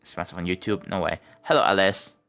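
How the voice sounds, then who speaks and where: A man's voice: low voice, steady tone, fast, fairly bright tone, faint music behind. A young man chatting casually on a live stream at home, rambling and laid-back, saying like and bro a lot. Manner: laid-back, rambling, many likes and bros. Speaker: livestreamer building a game level.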